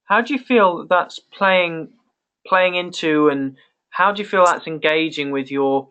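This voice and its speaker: A man hosting a casual conference call, talking in a halting way and repeating phrases as he goes. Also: fairly high pitch, pushed and bright, smooth, lo-fi sound. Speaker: call host.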